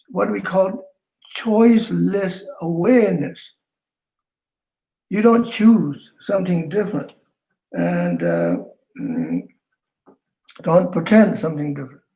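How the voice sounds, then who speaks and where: Older guy teaching meditation, gravelly voice kinda high, lots of uh and restarts, video call from home. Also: darkish tone, low-quality recording. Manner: halting, with frequent fillers and restarts. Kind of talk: dharma talk.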